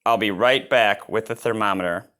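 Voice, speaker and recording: Man voicing a gentle, concerned storybook mother bear. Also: lowish voice, moderate pace, natural voice. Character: caring, busy mother bear.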